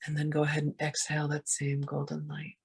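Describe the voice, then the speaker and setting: Soft, breathy voice guiding a meditation. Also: mid-range voice, monotone. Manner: gentle, soothing, unhurried, with long pauses. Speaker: meditation guide. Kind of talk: guided meditation.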